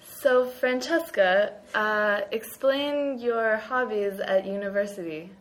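A woman's voice: medium pitch, slow. A young woman from the United States, living in Chicago, leading a casual chat by asking questions. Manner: asks questions, laughs. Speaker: interviewer.

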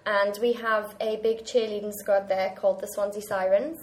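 A young woman talks in an easy, chatty way. She is a student and often breaks into a laugh. Her pitch sits in the middle for a woman. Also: steady tone, normal speed, a little mellow. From Wales. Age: young adult. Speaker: university student. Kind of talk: casual chat.

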